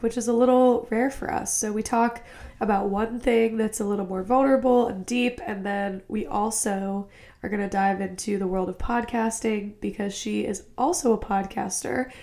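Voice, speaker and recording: A woman's voice: on the high side, vocal fry, dark tone. Chatty young woman hosting a podcast. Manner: chatty and upbeat, quick asides. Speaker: podcast host. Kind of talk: casual podcast interview. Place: home in a cold city.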